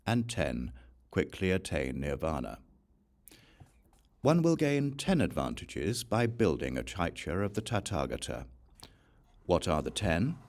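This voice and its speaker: A man with a low voice narrates a scripture audiobook. His delivery is slow and deliberate, with clear pauses, yet his pitch moves up and down with lively inflection. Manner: deliberate, enumerating each point with pauses. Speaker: audiobook reader. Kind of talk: slow, measured reading of scripture.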